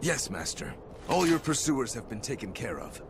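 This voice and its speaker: A man's voice: medium pitch, very animated, moderate pace, background noise. An actor playing a fanatically loyal henchman of a villain in a video game. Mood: obedient. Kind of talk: video game cutscene acting.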